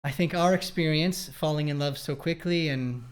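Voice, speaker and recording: A man in his thirties from Canada talks easily and at length. His voice sits a bit high for a man, and he drifts into joking asides as he hosts a casual chat about relationships. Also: moderate pace. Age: 30s. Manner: relaxed, rambling, joking asides. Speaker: podcast host.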